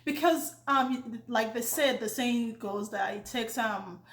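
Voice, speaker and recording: A woman's voice: fairly high pitch, slow pace, fairly bright tone. A woman with a Nigerian accent, co-hosting a casual chat, talking with hesitations. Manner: chatty, with hesitations. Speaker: co-host. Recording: echoey room.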